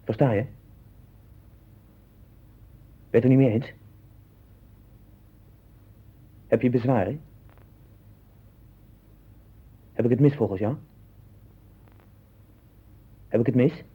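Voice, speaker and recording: An actor playing a tormented, paranoid man in a 1960s Dutch radio drama, husky, speaking in obsessive, repeated short phrases. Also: mid-range voice, very fast, phone-quality sound, natural voice. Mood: angry accusing. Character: Len, tormented, paranoid man sinking into dwarf-haunted delusions.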